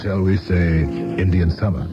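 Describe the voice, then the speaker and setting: An actor playing a suave, courtly, smooth-talking middle-aged politician in a radio drama. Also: male voice, low-pitched, flat delivery, a little slow. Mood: courtly, amused. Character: suave, influential male politician, once a teacher. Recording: muffled vintage radio drama, music behind.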